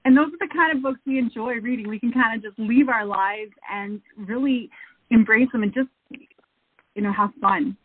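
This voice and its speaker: A woman's voice: fairly high pitch, moderate pace, slightly rough, no room sound. A woman hosting an interview show, talking enthusiastically and effusively. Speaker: show host. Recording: phone-like narrow audio.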